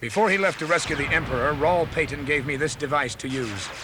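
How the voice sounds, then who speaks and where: A man's voice: a little high, brisk, close to an everyday voice. An actor playing a cautious, loyal king with an earnest, urgent delivery in a 1970s science fiction audio drama. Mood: concerned. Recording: 1970s audio drama with battle effects, music.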